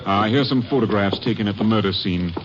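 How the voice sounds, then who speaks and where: An actor playing an authoritative, folksy Texas Ranger captain, brisk and businesslike, in a 1950s radio drama. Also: male voice, fairly low pitch, natural voice. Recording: muffled, noisy vintage radio recording.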